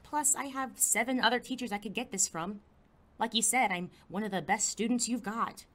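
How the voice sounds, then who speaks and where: A woman playing a skeptical, higher-voiced teenage girl. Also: fairly bright tone. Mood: sarcastic, defiant. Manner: dry, incredulous, quick to argue. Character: skeptical, sarcastic, cautious teenage high school girl. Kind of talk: audio drama acting.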